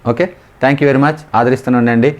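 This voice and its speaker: A man with a Telugu accent and a mid-pitched voice teaches in an informal coaching style. He explains exam questions step by step and repeats the key terms as he goes. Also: steady tone, moderate pace.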